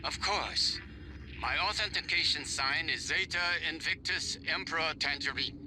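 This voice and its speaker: A man's voice: a little high, normal speed, background noise, lightly acted. An actor playing a ruthless corporate chairman in a video game, giving formal, clipped orders with authority. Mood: cold, commanding.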